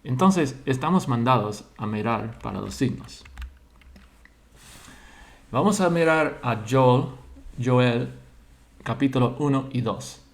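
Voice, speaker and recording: A man, a church member slowly sharing his religious thoughts and pausing to search for words in a language he learned later. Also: medium pitch, animated, vocal fry. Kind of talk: slow personal religious monologue.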